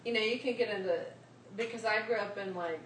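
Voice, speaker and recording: A woman's voice: medium pitch, fairly quick, fairly bright tone. A woman in a Bible study group giving her frank view in casual discussion. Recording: echoey room, heard from a distance with background noise.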